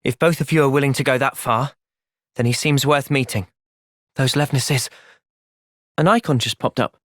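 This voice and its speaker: An actor playing an earnest, thoughtful young soldier in a video game, soft-spoken and sincere but resolute. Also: male voice, mid-range voice, steady tone, normal speed, no room sound, clean studio sound, natural voice. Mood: calm, thoughtful. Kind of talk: video game cutscene acting.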